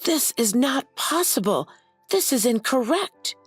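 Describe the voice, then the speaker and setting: A woman's voice: mid-range voice, lively intonation, normal speed, darkish tone, no room sound, faint music behind. A woman voicing an arrogant, entitled brother who talks in a haughty, demanding way in a narrated story. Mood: outraged.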